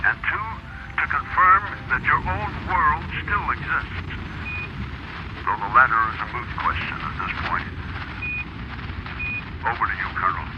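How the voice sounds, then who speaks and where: Loud noise and a muffled radio link surround a steadfast general, played by a male actor. His voice is mid-pitched, formal and deliberate, with expressive rises and falls, in the style of vintage TV science fiction drama. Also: lightly acted. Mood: grave, measured. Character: sober, steadfast male general at mission base. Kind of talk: 1960s television drama acting, formal radio exchange. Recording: dull, very noisy radio link with engine-like roar.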